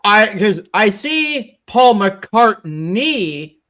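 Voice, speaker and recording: A man's voice: high voice, big pitch swings, extremely slow, pushed and bright. A man chatting casually as a guest on a livestream, repeating phrases as he goes. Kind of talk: casual chat.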